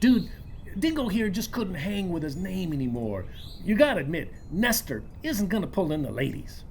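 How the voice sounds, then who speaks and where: An actor playing a breezy, slangy handyman in a radio play, full of corny flattery, with a husky voice. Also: medium pitch, moderate pace, a little hiss or hum. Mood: boastful, chummy. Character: folksy, jokey, slangy male handyman and flatterer.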